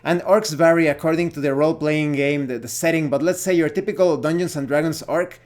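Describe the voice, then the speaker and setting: A man presenting an opinionated explainer as a video host on tabletop role-playing games, talking in deliberate phrases broken by pauses. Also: a little high, a little mellow. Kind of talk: opinionated explainer talk to camera.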